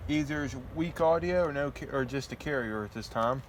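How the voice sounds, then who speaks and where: A man's voice: mid-range voice, brisk. A man presenting as a radio hobbyist, talking casually and plainly as he gives a hands-on demonstration. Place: indoors at night beside radio and laptop. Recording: home video with background noise.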